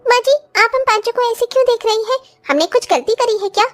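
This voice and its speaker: A woman's voice: extremely high pitch, expressive, fast. A woman voicing an eager, dutiful young village daughter-in-law in a cartoon story, sweet and reassuring. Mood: puzzled. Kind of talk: Hindi cartoon story voice acting.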